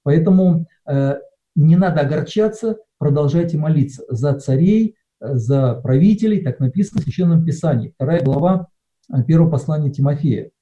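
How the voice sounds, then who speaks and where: Mellow-voiced man preaching, dull recording. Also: a little high, animated, moderate pace. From Russia. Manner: conversational, with many hesitations. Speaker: pastor. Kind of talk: sermon. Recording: dull lo-fi sound.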